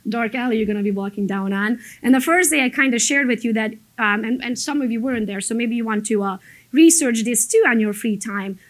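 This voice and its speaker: A woman's voice: fairly high pitch, low-quality recording. A woman, speaking as a motivational business coach to a group in a blunt, emphatic, informal storytelling way. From abroad, emigrated to the United States as an adult. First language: not English. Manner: blunt, emphatic, conversational storytelling with mild swearing.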